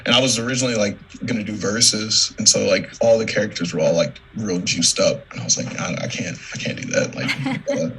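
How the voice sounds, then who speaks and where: A young man, an artist, chatting casually in a halting, informal, modest way over a video call. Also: fairly low pitch, a bit bright, slight background noise, poor audio. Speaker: artist presenting work. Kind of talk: casual chat.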